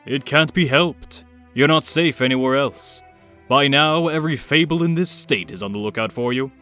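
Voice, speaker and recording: A man's voice: medium pitch, normal speed, bright, forceful tone, faint music behind, close to an everyday voice. A man playing a smug, calculating villain, condescending and controlled, in a comic dub. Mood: stern. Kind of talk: amateur comic dub voice acting.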